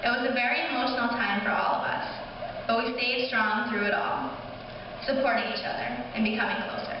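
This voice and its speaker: A young woman, a high school class officer, reading a scripted speech aloud at a school ceremony. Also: steady tone, a little fast. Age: young adult. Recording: distant echoey hall, muffled, noisy.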